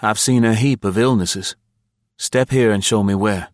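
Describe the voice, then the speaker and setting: A man's voice: low voice, normal speed, no echo, studio quality, natural voice. A man voicing a plucky young captive who cajoles in a folksy, desperately playful way in an audiobook. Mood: urgent coaxing. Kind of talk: audiobook character acting.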